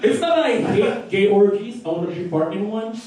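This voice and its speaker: A man's voice: sits high, expressive, a little fast, crowd noise. Filipino-accented man doing stand-up, echoey. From Philippines. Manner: loose, profane, laughing at his own jokes. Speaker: stand-up comedian. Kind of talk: stand-up set. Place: small record store stage. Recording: roomy, distant live recording.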